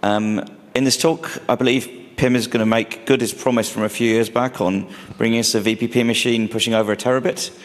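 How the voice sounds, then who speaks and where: A man's voice: mid-range voice, fairly even intonation, a little fast, hardly any pauses. A man introducing the next speaker at a conference in an informal way, with a few fillers. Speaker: conference moderator. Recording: reverberant hall with audience.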